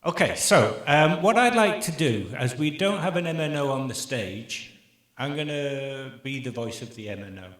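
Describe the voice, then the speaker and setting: A man moderating a conference panel on stage, upbeat, with quick asides to the audience. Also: medium pitch, animated, fairly bright tone. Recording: echoey conference hall.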